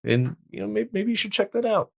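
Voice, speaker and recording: A man's voice: sits high, sing-song, fairly quick, gravelly, voice pushed up, exaggerated voice. A man playing a cautious, nosy neighbor in a tabletop game, speaking hesitantly and furtively. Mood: furtive, gossipy.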